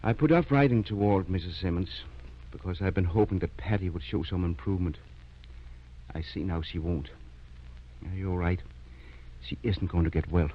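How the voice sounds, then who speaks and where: An actor playing a kindly, determined older country doctor in an old-time radio drama, measured and earnest. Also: male voice, low voice, slight background noise, natural voice. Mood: grave. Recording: dull vintage radio recording.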